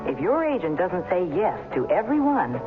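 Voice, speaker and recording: A man's voice: high voice, sing-song, moderate pace. A man reading a scripted 1970s radio advertisement with an emphatic sales delivery, on a muffled old recording. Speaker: commercial announcer. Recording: muffled old radio, loud music behind.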